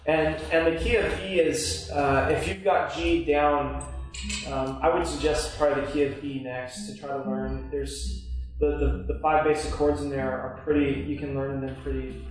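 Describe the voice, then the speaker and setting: A man's voice: a little high, little pitch movement, moderate pace. A man leading a worship music workshop, talking hesitantly with many fillers. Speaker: workshop leader. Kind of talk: workshop discussion. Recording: echoey room, mic far off, music behind.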